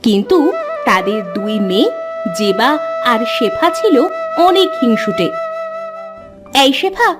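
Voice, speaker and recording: A woman's voice: mid-range voice, big pitch swings, measured pace, pauses often. A woman reading scripted narration for a cartoon story, with music behind her.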